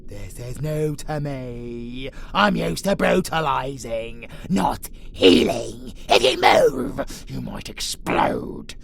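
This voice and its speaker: A man playing a menacing vampire monster in a roleplay audio drama, predatory yet possessive, with a growling, theatrical delivery that swings between breathy whispers and roars. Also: medium pitch, big pitch swings, takes it slowly, few pauses, full character voice. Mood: scornful, focused.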